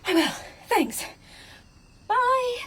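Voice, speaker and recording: An actress playing a plucky, polite English secretary with a breathy voice in a radio drama. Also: female voice, extremely high pitch, very animated, drawn-out words, a crowd in the background, full character voice. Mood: breezy, plucky. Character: plucky, polite British female secretary, breezily defiant.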